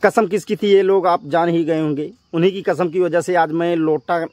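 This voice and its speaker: A male vlogger with a fairly high voice delivers a confrontational rant straight to his viewers. He scolds with emphasis and repeats his phrases. The sound is dry, with very little room on it. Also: fairly even intonation, moderate pace, darkish tone, dry recording. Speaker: YouTube vlogger addressing viewers.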